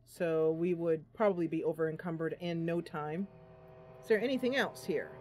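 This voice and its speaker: A woman's voice: sits low, normal speed, a little mellow, slight background noise. A woman giving casual, chatty game commentary, thinking aloud in a playful way. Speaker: gameplay host and role-player. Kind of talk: casual game commentary with voiced role-play. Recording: game music behind. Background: game music.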